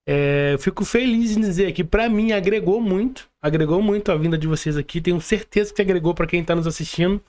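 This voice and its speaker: A Brazilian man with a notably high voice hosts a podcast. He talks informally and emphatically, often repeating his phrases as he addresses guests and audience. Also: a little fast, few pauses, a little mellow. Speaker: podcast host. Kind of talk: casual podcast hosting.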